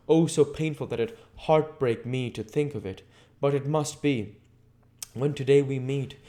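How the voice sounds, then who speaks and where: A man voicing a grave, sorrowful old foreign professor from a gothic novel, speaking halting, formal broken English. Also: mid-range voice, warm tone, voice pushed up, natural voice. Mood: pained, resolute.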